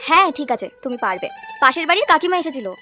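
A woman's voice: high voice, sing-song, very fast, pushed and bright, faint music behind, heavily acted. A woman playing a caring young wife and daughter-in-law in a cartoon, sweet and pleading. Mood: eager, gentle. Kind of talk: cartoon voice acting, domestic moral story.

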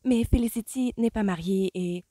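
A woman reading aloud, voicing a gentle, anxious young woman who speaks softly and in a hush. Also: mid-range voice, no echo, pitched above the performer's own voice, natural voice. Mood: earnest. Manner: soft, hushed, hurried.

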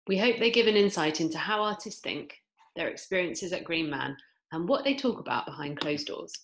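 A woman's voice: low voice, vocal fry, poor audio. A woman reading a scripted introduction for a festival video. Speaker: festival narrator. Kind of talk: scripted narration.

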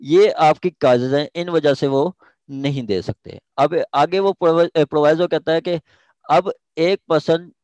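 A man's voice: a little high, a little slow, a little mellow, no room sound. Pakistani man lecturing, dry close sound. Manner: repeats key phrases, switches between Urdu and English. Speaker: law lecturer. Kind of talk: informal lecture explaining a legal text.

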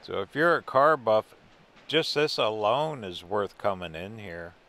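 A man's voice: on the low side, expressive, a little slow, a bit bright. An older man narrating a casual walk through a museum as a travel vlogger, easygoing and wry with chuckling asides. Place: indoor museum buildings.

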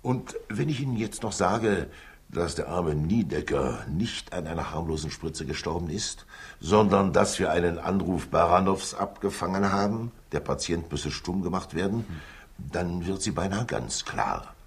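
Radio-play state councillor: jovial, sly older man, very deep and husky, theatrical swoops. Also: expressive, measured pace, full character voice. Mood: assured, soothing. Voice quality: gravelly, husky. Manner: avuncular, theatrical, repeats himself. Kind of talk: 1960s German-language radio crime drama.

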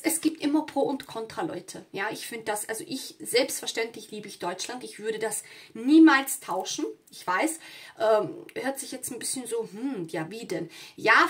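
A woman's voice: a little high, fairly bright tone. Woman giving a conversational tarot reading. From southern Europe. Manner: conversational, often checking in with "ja". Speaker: tarot reader. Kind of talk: casual spoken card reading to viewers.

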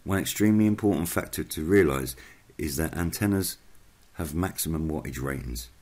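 A man reading a scripted explainer narration in a measured, instructional way. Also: very deep voice, darkish tone.

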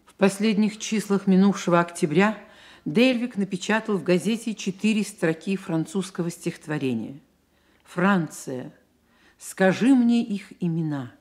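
A woman narrating a literary Soviet radio play in a measured, expressive, reflective way. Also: medium pitch. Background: music.

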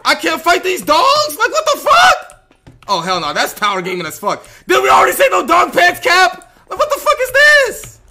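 A man's voice: extremely high pitch, huge pitch swings, normal speed, sharp tone. An American man reacting to a game on a livestream, incredulous and profane, often repeating himself. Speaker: game streamer. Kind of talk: livestream gameplay reaction, roleplay.

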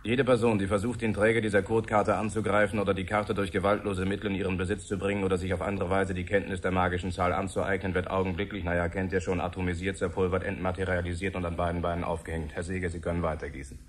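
A flippant official acted by a man in a vintage radio play, speaking in a deep voice and at a quick clip. He is bored and offhand with regulations. The recording carries faint noise. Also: level tone, natural voice. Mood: flippant, rattling off. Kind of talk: 1970s German radio drama acting.

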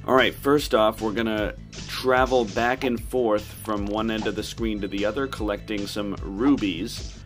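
The man on a retro gaming channel, talking viewers through a level in a lowish voice over soft game music. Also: steady tone, a little slow. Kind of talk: casual playthrough commentary.

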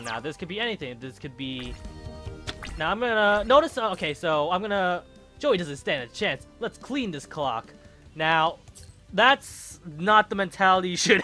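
Bright-voiced man rambling over a game. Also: on the high side. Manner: rambling, thinking aloud, self-correcting. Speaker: gaming channel host. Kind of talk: let's play commentary.

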